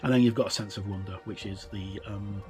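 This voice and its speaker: Middle-aged man explaining haltingly, music behind. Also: fairly low pitch, steady tone. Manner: informal, explanatory, halting with frequent hesitations. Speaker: tabletop game reviewer. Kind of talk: casual unscripted walkthrough commentary.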